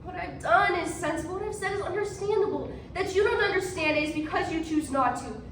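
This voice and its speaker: An actress plays a defiant woman on trial for witchcraft, her voice pitched very high. She presses her accusers with deliberate, theatrical questions. The sound is distant and noisy, ringing in an echoey room. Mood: firm, defiant. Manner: emphatic, challenging, deliberate rhetorical questioning. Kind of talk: competitive stage readers theatre.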